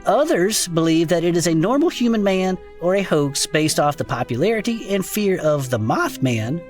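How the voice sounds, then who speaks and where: A performer playing an irreverent, excitable non-human cryptid podcaster in a comedic audio drama, talking in folksy slang. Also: mid-range voice, normal speed, faint music behind, natural voice. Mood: bemused. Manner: folksy slangy casual speech, chuckling. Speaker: co-host of a cryptid discussion show. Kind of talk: improvised comedic audio fiction banter.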